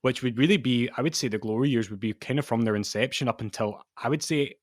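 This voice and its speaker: A young man co-hosting a podcast from his home study, in relaxed, rambling, jokey chat. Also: mid-range voice, fast, no room sound, studio quality. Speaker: podcast co-host. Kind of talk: casual chat.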